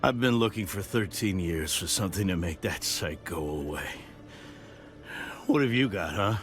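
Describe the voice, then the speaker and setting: A man's voice: low-pitched, lively intonation, moderate pace. An actor playing a bitter, haunted middle-aged male ex-marine who drinks, weary and begging in a video game. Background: game music.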